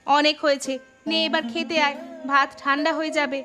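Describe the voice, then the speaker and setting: Woman acting a high-voiced fussing village mother. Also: level tone, brisk, bright, forceful tone. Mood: worried, insistent. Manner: insistent, questioning. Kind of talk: Bengali fairy tale cartoon acting. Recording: music under the voice.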